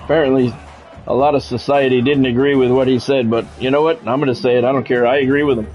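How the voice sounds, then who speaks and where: A man's voice: mid-range voice, fairly even intonation, darkish tone, soft background music, low-quality recording. A man live streaming a football video game, mixing casual, blunt chat with play-by-play and often repeating his words as he goes. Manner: halting, repeats words and phrases.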